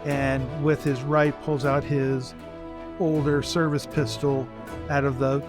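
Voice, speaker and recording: A man playing a tabletop roleplaying game, casually narrating his action in a halting, deliberate way. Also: medium pitch, little pitch movement, over music.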